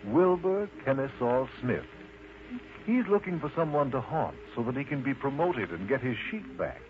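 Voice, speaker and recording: A man narrating a children's story in an expressive, unhurried manner, addressing the listener directly. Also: mid-range voice. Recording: muffled lo-fi recording with loud noise.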